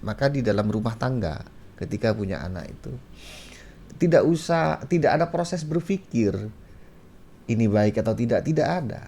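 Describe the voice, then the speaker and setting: A man from Madura, speaking as a talk show guest and pausing to search for words. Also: mid-range voice, expressive, mellow. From Madura, Indonesia. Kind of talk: conversational personal anecdote.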